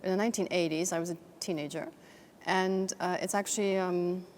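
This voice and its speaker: A woman's voice: on the low side, steady tone, brisk, darkish tone, slight background noise. A middle-aged woman raised in Texas, a guest on an interview show, talking reflectively with many fillers and restarts. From United States, raised in Texas. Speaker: guest, chamber of commerce director. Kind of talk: conversational interview.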